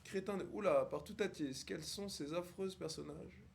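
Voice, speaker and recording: A man playing a pompous, high-voiced snob. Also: normal speed, gravelly, over a noisy crowd. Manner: affected formal speech, pompous and mocking. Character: haughty snobbish young posh man, condescending. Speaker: livestreamer on a video chat app. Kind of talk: improvised character roleplay with strangers.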